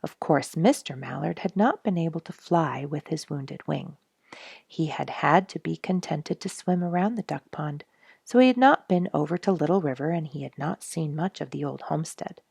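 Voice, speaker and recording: A woman reading a children's storybook aloud in an expressive, emphatic manner. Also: deep voice, moderate pace, creaky, husky, no echo, clean studio sound. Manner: expressive, emphatic on sound words. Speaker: storybook reader and host.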